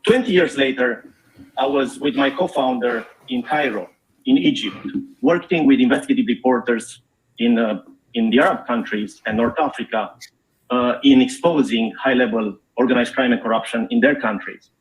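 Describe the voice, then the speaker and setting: A middle-aged man from Romania speaks at a forum in an earnest, measured voice. He pauses often with small fillers as he lays out his points. His pitch sits in the ordinary middle range. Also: low-quality recording. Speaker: investigative journalist speaking at a forum. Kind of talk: prepared public talk.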